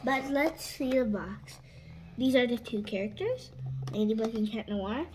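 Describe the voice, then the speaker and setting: A woman's voice: fairly high pitch, expressive, unhurried, darkish tone. A young girl hosting a casual hands-on toy unboxing indoors at a table. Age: child. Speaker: toy review host. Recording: clicky, noisy home recording.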